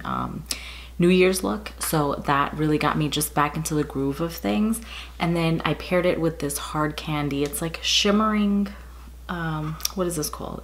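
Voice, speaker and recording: A woman in her thirties doing a casual makeup tutorial, her voice raspy and a bit muffled from a cold, chatting through each product with frequent ums. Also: low voice. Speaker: beauty vlogger. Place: bedroom with window blinds, indoors.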